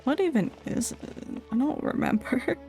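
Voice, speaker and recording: Playful, animated woman streaming a game. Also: high voice, normal speed, soft background music. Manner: playful, rambling, heckles game dialogue. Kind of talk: casual, jokey livestream commentary over a game.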